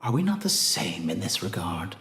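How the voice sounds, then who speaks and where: An actor playing an arrogant, calm mad scientist villain in a video game, speaking in a pompous, deliberate, theatrical way. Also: male voice, low-pitched, roomy sound. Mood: silky, amused.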